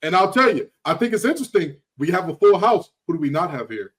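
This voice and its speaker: Guy with kinda high voice talking sports, emphatic, says stuff twice for effect. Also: sing-song, fast talker, a bit bright, low-quality recording. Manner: emphatic, repeats phrases for effect. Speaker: sports talk show panelist. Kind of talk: casual sports commentary.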